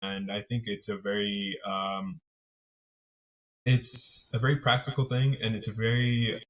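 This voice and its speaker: A man's voice: lowish voice, little pitch movement, a little slow, fairly bright tone, highs cut off. A man born and raised in Montreal, answering as a podcast guest in an easy, fluent way with casual fillers. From Montreal, Quebec. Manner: fluent, casual, uses fillers like "I guess" and "right". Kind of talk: casual interview.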